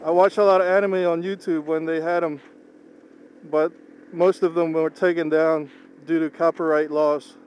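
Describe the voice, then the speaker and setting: A young man whose first language is Tagalog, talking casually in a slow, halting way while driving. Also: a little high, flat delivery, darkish tone, poor audio. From Philippines. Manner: slow, unhurried, halting with pauses. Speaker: vlogger. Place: inside a moving car. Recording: faint car engine hum. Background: car engine and road noise.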